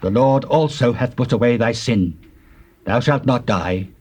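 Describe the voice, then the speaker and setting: A man's voice: medium pitch, measured pace, darkish tone, husky. A man playing a stern prophet who solemnly declaims God's judgment in a dramatized scripture reading. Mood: grave.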